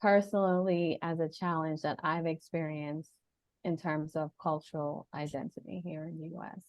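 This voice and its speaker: A woman's voice: deep voice, little pitch movement, moderate pace, a little mellow, no room sound. A woman from Jamaica with an American accent, a panel guest giving a thoughtful personal account over a video call.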